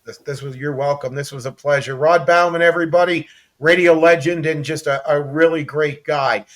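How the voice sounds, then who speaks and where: American male talk-show host, slightly high register, casual interview delivery, effusive and appreciative. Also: steady tone, normal speed, a bit bright.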